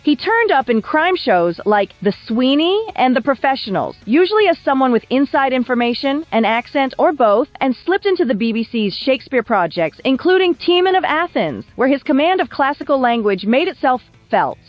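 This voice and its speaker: A woman reads scripted documentary narration in a fairly high, animated voice. Her phrasing is measured and polished, with wry touches, and soft music plays behind her. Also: no room sound, highs cut off. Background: background music.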